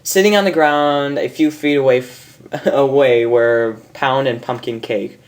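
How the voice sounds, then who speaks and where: A man's voice: mid-range voice, measured pace. A man narrating a story as an amateur host, informal, stumbling over lines and correcting himself. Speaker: fan fiction reader and host.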